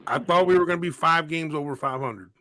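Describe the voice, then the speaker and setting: A man's voice: on the high side, fast, sharp tone. A man in his forties co-hosting a casual sports debate, arguing his point and repeating himself to drive it home. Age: 40s. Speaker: podcast co-host.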